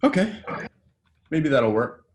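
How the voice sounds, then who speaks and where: An actor playing a hungover, cocky young actor who swears, at a table read. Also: male voice, medium pitch, sing-song, slow pace, slight background noise. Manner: drawling, swearing.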